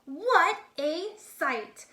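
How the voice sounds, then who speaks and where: An eager storybook boy acted out by a woman barks his circus attractions like a proud showman. The delivery is bright, singsong and unhurried, in an echoey room. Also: very high voice, animated, pitched above the performer's own voice, full character voice. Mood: excited, showy. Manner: grandiose showman's barking, singsong rhymes. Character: young boy dreamer, boastful eager circus ringmaster. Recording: echoey, reverberant room sound.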